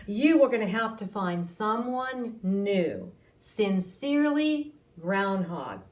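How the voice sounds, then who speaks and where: A woman's voice: medium pitch, very animated, takes it slowly, a little mellow. A woman voicing a proud, hurt male groundhog who declares his grievances emphatically in a storybook reading. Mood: indignant, hurt. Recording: roomy echo, distant microphone.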